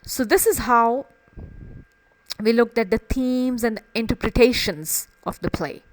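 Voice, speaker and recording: A woman's voice: a little high, a little slow, low-quality recording. A woman literature teacher explaining a play in an emphatic way, often repeating and rephrasing phrases to stress them.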